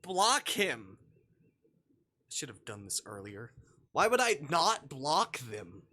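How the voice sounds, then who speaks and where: A man livestreaming a visual novel, switching between jokey chat and reading the text aloud in a playful, expressive way. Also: on the high side, slow. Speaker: live streamer playing a visual novel.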